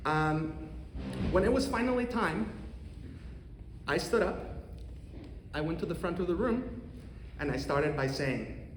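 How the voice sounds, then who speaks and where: A man in his thirties from Costa Rica with a Costa Rican accent, an economics professor giving an earnest, measured prepared talk to a congregation. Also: fairly high pitch, lively intonation, a little hiss or hum. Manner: earnest, measured, with occasional restarts. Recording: roomy hall reverb, faint ambience.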